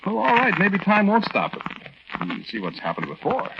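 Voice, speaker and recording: Haunted war veteran, noisy old radio. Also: male voice, high voice, wildly swooping pitch, vocal fry, exaggerated voice. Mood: anxious, brooding. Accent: American. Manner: colloquial, halting, anxious, confiding. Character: nervous, haunted American war veteran telling his strange tale. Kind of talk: 1940s radio drama monologue, conversational first-person narration. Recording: noisy, muffled 1940s radio recording with clicks.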